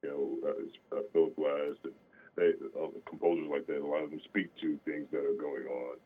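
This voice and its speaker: A man, an opera singer, answering questions in a radio interview, halting with many fillers and restarts. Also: very deep voice, fairly even intonation. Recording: phone-line sound.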